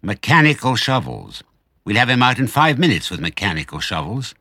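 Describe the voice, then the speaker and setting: A man's voice: mid-range voice, sing-song, fairly quick, bright, forceful tone, no echo, clean studio sound, voice pushed up, full character voice. A man voicing a lean, dirty, deaf villain farmer in a storybook, angry, curt and bossy. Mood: bossy, scheming. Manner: emphatic, menacing, curt.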